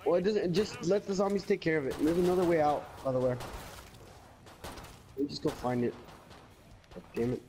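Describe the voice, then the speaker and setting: A man's voice: on the high side, a little fast, pauses often, warm tone. A young man in casual game chat on a voice-chat mic, grumbling and swearing. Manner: grumbling, profane. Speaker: gamer in group chat. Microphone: gaming voice-chat microphone.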